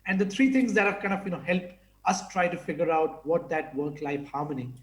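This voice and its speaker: A man's voice: on the high side, brisk, echoey room, slight background noise. A man from India speaking as a panelist in an online forum, talking casually with many fillers. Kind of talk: online panel talk with slides. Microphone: video call.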